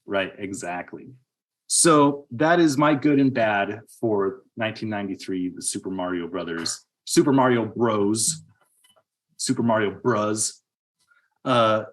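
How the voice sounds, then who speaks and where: Man, fairly low pitch, casual podcast co-host, conversational film discussion. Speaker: podcast co-host reviewing movies.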